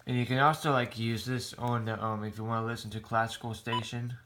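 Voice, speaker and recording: An American man giving a casual show-and-tell in a halting, rambling, plain-spoken way. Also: mid-range voice, flat delivery, very noisy. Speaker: hobbyist vlogger showing a radio collection.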